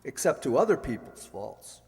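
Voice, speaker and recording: A man's voice: mid-range voice, very animated, normal speed, darkish tone. A man playing a witty, needling, urbane ex-husband in an amateur radio play, sardonic and theatrical. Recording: echoey hall, long reverb.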